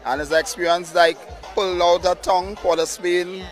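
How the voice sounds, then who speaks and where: Man over loud party music. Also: high voice. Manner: relaxed, halting. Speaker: event guest. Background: music and crowd.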